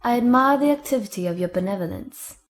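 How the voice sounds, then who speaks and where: A prim young lady , played by a woman in a dramatized audiobook. She moralises in a measured, sententious way at a medium pitch. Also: expressive, lightly acted. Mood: priggish.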